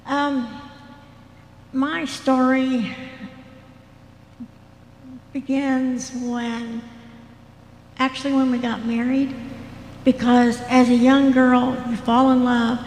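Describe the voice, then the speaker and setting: An older woman with a soft voice, gently telling her own story as a guest at a church. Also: sits high, little pitch movement, drawn-out words, long pauses. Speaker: guest sharing testimony. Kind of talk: personal testimony. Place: church hall. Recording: echoey hall with faint noise.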